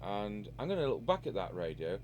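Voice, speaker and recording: A man's voice: on the low side, very animated, fairly quick, a little mellow, a little hiss or hum. A man giving a casual, unscripted hands-on repair demonstration, musing aloud as he works. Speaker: radio repair hobbyist and video presenter.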